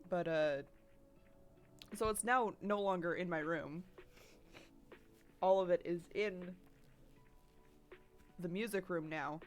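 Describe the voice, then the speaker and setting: A woman's voice: lowish voice, takes it slowly, pauses often, faint music behind. A sniffly young woman streamer chatting casually from her bedroom, rambling and laughing often as she reads chat while she draws. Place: home bedroom.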